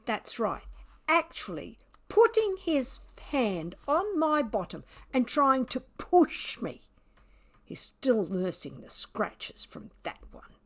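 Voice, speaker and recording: Smug storybook cat, read by a woman, swooping pitch. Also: heavily acted. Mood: smug, sardonic. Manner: dramatic, emphatic, wry delivery. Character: Tuffy, smug sardonic mischievous pet cat narrator. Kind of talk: children's storybook read aloud.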